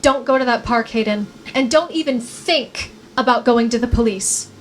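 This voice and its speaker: A woman's voice: on the high side, moderate pace, natural voice. A woman acting a tense, defensive, worn-out wife at a screenplay table read. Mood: insistent. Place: live film festival table read. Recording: live reading with faint background noise.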